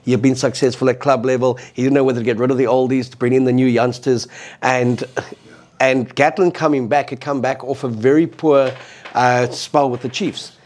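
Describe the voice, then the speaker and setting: A man's voice: medium pitch, darkish tone. A man hosting a rugby chat, fluent as he recounts match history in detail. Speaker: podcast host. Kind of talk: casual rugby discussion.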